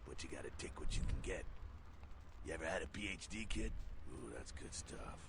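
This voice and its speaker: Deep, gravelly streetwise vampire mentor. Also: male voice, big pitch swings, brisk, fairly bright tone, a little hiss or hum, exaggerated voice. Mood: relishing. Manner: slangy, swaggering, emphatic. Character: gruff streetwise male vampire mentor, cocky and preachy. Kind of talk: video game character acting.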